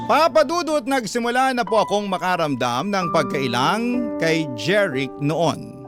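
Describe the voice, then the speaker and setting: A man's voice: sits high, sing-song, a little slow. A man with a Filipino accent reading a troubled mature woman's love confession as earnest radio-drama narration. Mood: uneasy, regretful. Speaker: radio storyteller. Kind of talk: first-person letter narration, classic radio drama. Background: guitar music.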